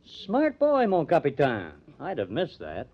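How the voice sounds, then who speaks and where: An actor playing a cocky young newspaper artist with a sardonic, teasing manner in an old-time radio crime drama. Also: male voice, a little high, huge pitch swings, measured pace, creaky, heavily acted. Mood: wry, amused. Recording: dull lo-fi old radio recording.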